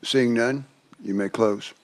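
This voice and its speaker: A man's voice: mid-range voice, fairly even intonation, slow pace. A man chairing a legislative committee meeting, running procedure in a courteous manner.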